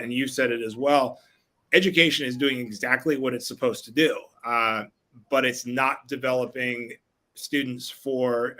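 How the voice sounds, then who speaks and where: A man's voice: medium pitch, a bit bright. A middle-aged man, a physical education teacher, speaking reflectively in a panel discussion and rephrasing as he goes.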